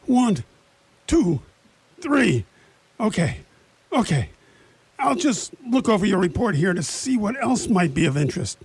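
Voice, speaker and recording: An actor playing a harried, grumbling sheriff with a bad stomach in a radio play, husky and creaky, prone to mild folksy cursing. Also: male voice, high voice, dry recording, full character voice. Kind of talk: radio theatre acting.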